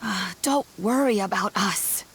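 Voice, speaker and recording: Calm old granny, faint noise. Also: female voice, medium pitch, measured pace. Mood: gentle, advising. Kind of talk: English anime game voice acting.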